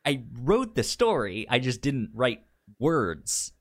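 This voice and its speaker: A man's voice: medium pitch, very animated, takes it slowly, no echo, studio quality. A man chatting casually as a podcast co-host, often stammering and repeating words and syllables. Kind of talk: casual movie podcast chat.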